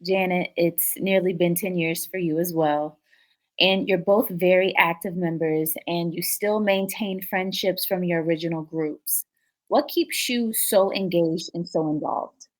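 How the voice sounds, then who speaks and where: A woman's voice: fairly low pitch, measured pace, rough recording. A woman podcast host asking her guests prepared interview questions.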